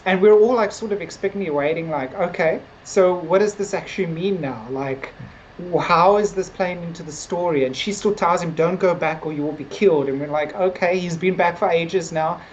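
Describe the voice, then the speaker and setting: A woman's voice: fairly low pitch, moderate pace, a little mellow. A woman co-hosting a casual review chat, talking in a loose, rambling way. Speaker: discussion show co-host. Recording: dull lo-fi sound, noisy background.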